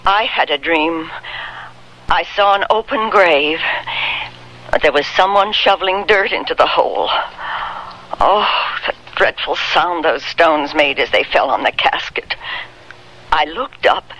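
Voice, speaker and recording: A woman's voice: on the low side, a bit bright, poor audio. An actress playing a worried, secretive middle-aged mother in a radio drama, speaking hesitantly and emotionally. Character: worried, secretive older mother of a grown daughter.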